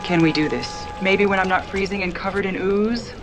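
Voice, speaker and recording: A woman's voice: mid-range voice, fairly even intonation, moderate pace, over music, natural voice. A woman playing a weary, no-nonsense cellist in a motion comic, dry and matter-of-fact. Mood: weary, grave. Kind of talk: animated motion comic acting.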